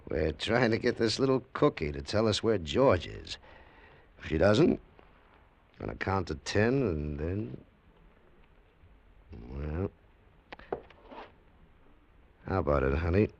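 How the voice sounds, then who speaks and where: An American actor playing a menacing, mocking gangster in an old radio drama, slangy and falsely polite. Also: male voice, low-pitched, big pitch swings, a little slow, gravelly, long pauses, exaggerated voice. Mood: cool menace. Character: menacing, mocking male gangster, coolly threatening with a gun. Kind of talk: 1940s radio drama acting. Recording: old-time radio recording.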